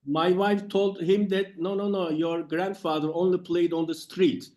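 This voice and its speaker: A man's voice: high voice, level tone, a little slow, a little mellow. An older man and grandfather, a consultant, talking in an online business meeting, hesitant with many fillers and light jokes. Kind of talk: casual online meeting talk. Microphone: video call.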